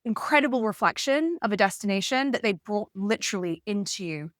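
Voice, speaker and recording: A woman's voice: mid-range voice, animated, brisk, dry recording, studio quality. A young adult woman hosting a podcast interview, friendly and chatty with many fillers. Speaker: podcast host. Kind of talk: casual interview chat.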